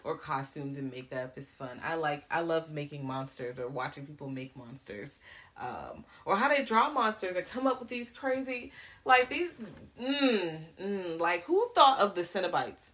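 A woman's voice: low voice, moderate pace. A woman co-hosting a podcast in casual chat, talking animatedly and laughing often. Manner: animated, laughing, excited questions. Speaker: podcast co-host.

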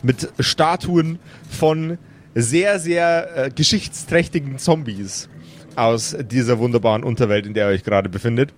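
A man narrating an improvised tabletop game as game master, deliberate and halting as he builds the scene. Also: mid-range voice, slight background noise.

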